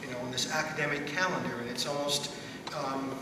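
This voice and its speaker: A middle-aged man speaking as a school principal on a panel, in measured, deliberate phrases. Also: mid-range voice, fairly even intonation. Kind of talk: panel discussion. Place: school theater stage at a panel table. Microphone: distant from the speaker. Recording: echoey hall, distant mic, background noise.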